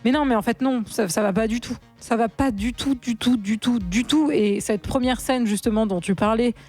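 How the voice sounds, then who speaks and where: A woman's voice: on the high side, normal speed, a little mellow, faint music behind. A woman co-hosting a casual film podcast, talking frankly with slang and easy laughs. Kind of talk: casual chat.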